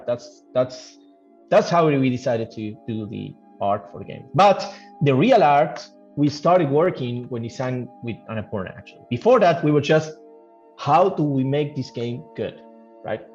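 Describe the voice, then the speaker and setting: A man who works as a game developer gives casual interview answers, thinking aloud haltingly and repeating phrases. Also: medium pitch, a little mellow. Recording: dull lo-fi audio, music behind. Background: background music.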